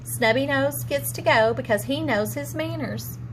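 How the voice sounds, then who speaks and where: A kindly old grandfather bear from a children's storybook, voiced by a woman in her own high register. Faint noise sits on the recording. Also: measured pace. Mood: concerned, kindly.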